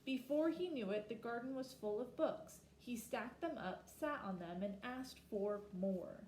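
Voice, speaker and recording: A woman reading a children's picture book aloud expressively, slowing down for emphasis and pausing to ask her listeners questions. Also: fairly high pitch, lively intonation, a little mellow, echoey room, slight background noise, low-quality recording. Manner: asks listeners reflective questions. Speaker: storybook reader.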